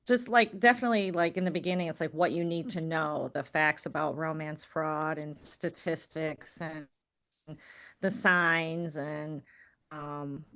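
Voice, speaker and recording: A young woman guest speaking in a casual, rambling way, full of likes and ums. Her voice sits a little low for a woman. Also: fairly even intonation, unhurried, a bit bright. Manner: chatty, many fillers like um and like. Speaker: author guest. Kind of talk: casual interview chat.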